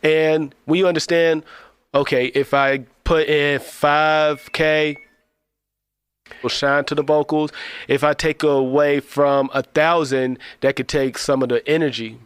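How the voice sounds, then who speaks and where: A man with a slightly high, smooth voice speaks as a podcast co-host. He teaches casually, building his points in short listed phrases, and the recording is close and dry. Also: little pitch movement, a little slow, dry recording. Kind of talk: casual instructional talk.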